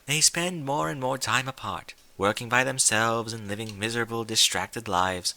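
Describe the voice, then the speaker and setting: A man voicing a refined young female pony from a cartoon world in a story reading, with genteel, theatrical, gently prodding diction. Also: medium pitch, moderate pace. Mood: grave, dramatic.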